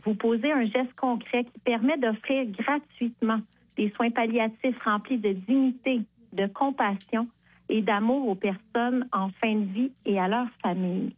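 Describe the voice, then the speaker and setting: A woman's voice: a little high, unhurried, no echo. Cheerful woman on a phone line. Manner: cheerful, laughing, promotional. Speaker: guest, charity event co-chair. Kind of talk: radio guest interview.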